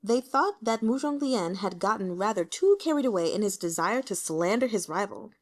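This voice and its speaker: Expressive woman narrator with lively pitch. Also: moderate pace. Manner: dramatic, storytelling. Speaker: audiobook reader. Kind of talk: expressive scripted narration of a fantasy novel.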